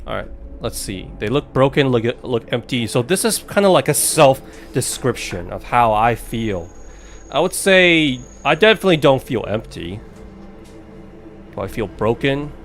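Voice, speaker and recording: Casting: male streamer, average pitch, casual and chatty, reacting aloud as he plays, light music underneath. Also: big pitch swings, measured pace. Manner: chatty, musing aloud, reacting to game and chat. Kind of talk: casual let's play commentary.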